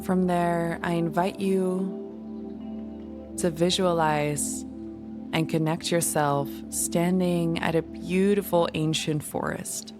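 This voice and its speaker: A woman leading a guided meditation in a soothing, gentle, unhurried manner. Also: on the low side, steady tone. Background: music.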